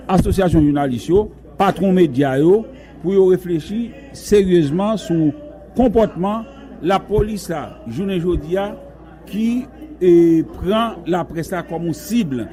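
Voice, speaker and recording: A man's voice: high-pitched, warm tone. A man with a Haitian accent giving an emphatic press conference statement as a human rights director, repeating his key phrases.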